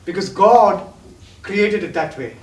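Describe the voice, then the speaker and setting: A bitter young man, played by an actor, with a high voice and an impassioned, declamatory delivery. Heard from a distance on an echoey stage, with faint music in the background. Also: animated, slow, slight background noise. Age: young adult. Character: bitter young man estranged from his rich family. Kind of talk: stage play acting. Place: theatre stage.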